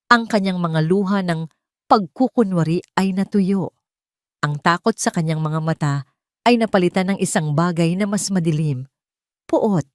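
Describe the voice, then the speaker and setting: Low-voiced woman, solemn dramatic storyteller. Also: no echo, studio quality. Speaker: narrator. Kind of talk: dramatic scripted story narration.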